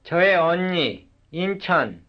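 A man reading short cue words for a language substitution drill, one at a time in a slow, measured way. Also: high-pitched, sing-song. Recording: dull lo-fi recording.

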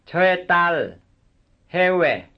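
A man reading short cue words for a language substitution drill, one at a time in a slow, measured way. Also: sits high, very animated. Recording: dull lo-fi recording.

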